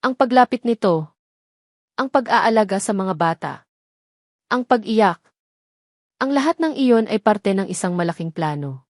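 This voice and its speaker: A woman's voice: mid-range voice, darkish tone, no echo, studio quality. A woman narrating a dramatic romance story from a script.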